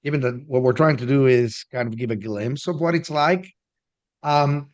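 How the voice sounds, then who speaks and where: A man's voice: mid-range voice, normal speed, muffled recording. Man hosting a business interview. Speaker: host, business coach.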